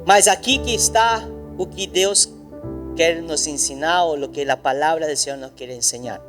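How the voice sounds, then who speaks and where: A man's voice: a little high, normal speed, over music. A pastor preaching a sermon in a church, emphatic and repetitive, speaking straight to his congregation. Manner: addresses the congregation directly.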